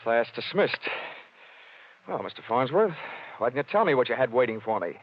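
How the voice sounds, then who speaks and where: A man's voice: medium pitch, sing-song, normal speed. A man playing a cocky, tough, wisecracking insurance investigator in a radio detective drama, speaking in a wry, slangy way. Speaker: lead actor. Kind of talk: 1940s-style radio detective acting. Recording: dull lo-fi radio sound.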